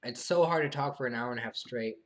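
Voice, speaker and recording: Young man, live streamer, mid-range voice, subdued and hesitant, full of fillers, voice getting tired. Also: medium pitch. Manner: hesitant, halting, many fillers and restarts; soft, subdued, earnest. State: losing his voice after long talking. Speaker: live streamer answering viewer questions. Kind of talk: casual live stream monologue.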